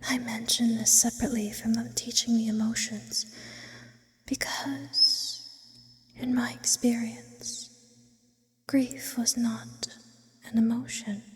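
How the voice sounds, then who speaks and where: A woman voicing an ancient, eerie sleep paralysis demon in an intimate, formal ASMR roleplay, mostly in a breathy whisper. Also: fairly high pitch, flat delivery. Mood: wistful, solemn. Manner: slow, deliberate, theatrical and formal in wording. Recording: long reverberant hall effect.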